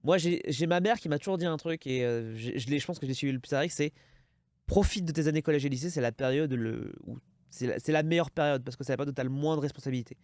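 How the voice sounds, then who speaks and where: A man's voice: a little high, quick pace, darkish tone, no room sound, studio quality. A man in his thirties with a French accent, hosting a casual interview and rambling with many restarts. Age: 30s. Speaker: podcast host.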